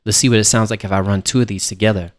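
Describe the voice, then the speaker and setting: Guy with a lowish voice doing a music software tutorial, casual explainer style, close mic. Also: steady tone, racing. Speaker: music production instructor.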